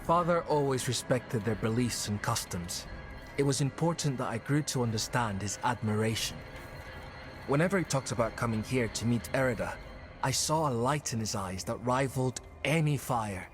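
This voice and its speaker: A man's voice: mid-range voice, animated, normal speed, close to an everyday voice. An actor playing an earnest young male druid companion in a dramatic video game. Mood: wistful. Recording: game music underneath. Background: game music.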